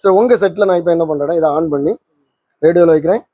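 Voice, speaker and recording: Fast, high-pitched man hosting. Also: noisy background. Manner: checks understanding with frequent tag questions. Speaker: host of a vintage radio repair channel. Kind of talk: casual explaining and interviewing.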